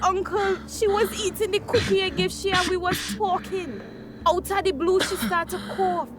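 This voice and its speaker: An actress playing a chatty, cheeky teenage daughter in a radio drama, with a Tobagonian accent and animated dialect speech. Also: female voice, very high voice, normal speed. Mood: panicked. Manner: animated, dialect-rich gossiping.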